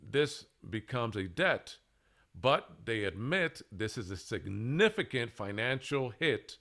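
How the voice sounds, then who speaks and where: A man presenting a scripted monologue in a deliberate, halting way, with emphatic pauses between short phrases. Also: mid-range voice, a bit bright. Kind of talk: scripted monologue, public speaking.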